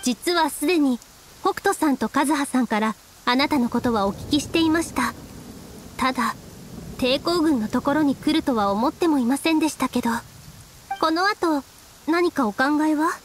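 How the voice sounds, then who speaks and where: An actress playing a gentle, composed young priestess commander in a Japanese video game, speaking politely and formally in measured phrases. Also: female voice, high voice, expressive, slight background noise. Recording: music and rain under dialogue.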